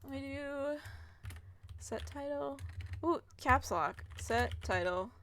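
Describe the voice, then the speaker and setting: A woman's voice: high voice, animated, takes it slowly, a bit bright. A young woman chatting casually and muttering to herself as she plays a game on a livestream. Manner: mutters to self while playing. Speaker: game streamer. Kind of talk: casual livestream gameplay chat. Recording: faint noise, keyboard and mouse clicks.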